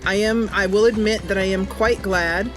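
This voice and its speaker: A woman's voice: medium pitch, fairly even intonation, moderate pace. A woman giving casual, chatty, enthusiastic livestream commentary as she plays a game. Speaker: game streamer and channel host. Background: game music and sounds.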